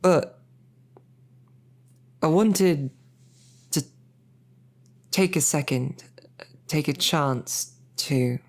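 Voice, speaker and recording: Woman voicing a shy lovestruck female knight, audio roleplay, really slow and halting, rambles all flustered. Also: low voice, a little mellow, exaggerated voice. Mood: flustered, earnest. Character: bashful, smitten young woman knight, humble royal guard. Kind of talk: intimate audio roleplay acting.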